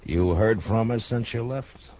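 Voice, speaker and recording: Frontier lawman: deep, rough-edged, wary and dry, old-time radio drama. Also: a little slow. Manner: dry, laconic, blunt. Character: stern, wary frontier US marshal. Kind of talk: 1950s radio western acting. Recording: muffled old radio recording.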